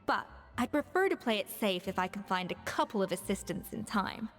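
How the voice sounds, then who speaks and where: A woman voicing a proud, exacting young female master chef in a video game, crisp and self-assured. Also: medium pitch, expressive, brisk, close to an everyday voice. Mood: proud, demanding. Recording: game music behind voice.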